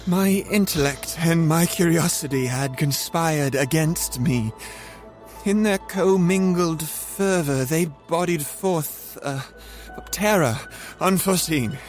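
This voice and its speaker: An actor playing a tormented scientist, recounting his horror in a halting, grandiose theatrical monologue. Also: a little high, soft background music. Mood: haunted. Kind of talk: theatrical audio drama monologue.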